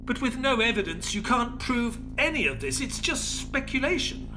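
A man's voice: extremely high pitch, very animated, normal speed, over music. An actor playing a detective's loyal male sidekick in an audio drama, earnest, inquisitive and sceptical.

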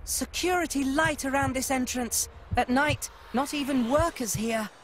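A woman's voice: high-pitched, unhurried, slight background noise. A woman voicing a small, round, furry male creature inventor in a game, eager and cheeky, with childlike broken speech. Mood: eager, secretive. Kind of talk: video game cutscene acting. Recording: loud music behind the voice.

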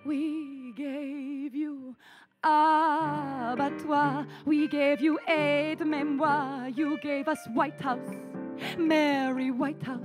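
A woman's voice: high-pitched, flat delivery, drawn-out words, music in the background. Woman doing a haughty French chanteuse. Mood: mocking song. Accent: put-on French. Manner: camp, mocking, flamboyant, sung with musical backing. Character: haughty French female chanteuse, theatrical and scornful. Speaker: cabaret performer. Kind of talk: comic cabaret song and patter.

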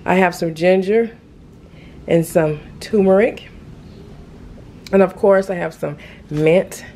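Slow-talking woman vlogger, lowish voice. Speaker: lifestyle vlogger. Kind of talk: casual vlog chat. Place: indoors in a home kitchen. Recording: steady ambient noise behind voice.

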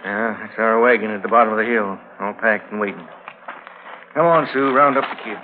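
An actor playing a discouraged, plain-spoken homesteader in a 1950s western radio drama. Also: male voice, lowish voice, brisk, a little hiss or hum, lightly acted. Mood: resigned. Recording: narrow old radio, crowd behind.

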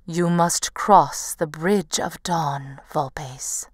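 A woman voicing a wise, radiant lady of the dawn in a storybook tale, gentle, measured and solemn. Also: sits low, no echo, clean studio sound, pitched below the performer's own voice, heavily acted. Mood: serene.

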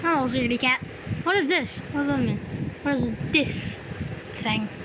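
A video host giving excitable game commentary, reacting aloud and repeating words. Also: sits high, normal speed. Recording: clear background noise.

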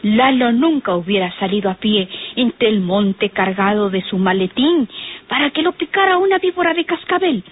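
Husky, emphatic woman witness, old radio. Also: medium pitch, expressive. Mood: earnest, emphatic. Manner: emphatic, deliberate, formally polite. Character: earnest unmarried sister-in-law giving testimony to a police inspector. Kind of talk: old Spanish-language radionovela acting. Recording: tinny, muffled old radio recording.

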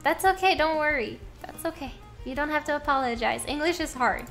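A young Norwegian woman with a high, light voice, talking to her stream chat from a desk at home. She speaks English as a second language, chatty and quick to laugh, with faint music underneath. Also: fairly bright tone. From Norway. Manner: chatty, laughs often, reads and answers chat. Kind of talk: casual gameplay chat with viewers.

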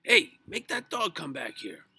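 A man's voice: fairly high pitch, huge pitch swings, unhurried, gravelly, sharp tone, husky, no room sound, pitched above the performer's own voice, exaggerated voice. A man voicing an angry, blustering grown male neighbour in a storybook, bellowing and snapping out orders.

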